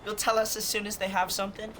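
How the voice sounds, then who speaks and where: A woman's voice: mid-range voice, little pitch movement, fast talker, close to an everyday voice. Guarded young street urchin played by a woman, noisy backdrop. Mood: polite. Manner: terse, wry. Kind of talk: audio drama acting. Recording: clear background noise under voice.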